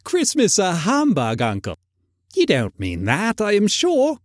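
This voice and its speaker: A man's voice: sits high, huge pitch swings, unhurried, no echo, clean studio sound, pitched above the performer's own voice, full character voice. A man as a cheerful, swooping young nephew. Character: cheerful, warm-hearted young nephew, gaily earnest. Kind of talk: storybook character reading.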